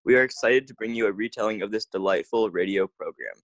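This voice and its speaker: A man's voice: on the low side, quick pace, no room sound. A man announcing a scripted old-time radio comedy as its narrator.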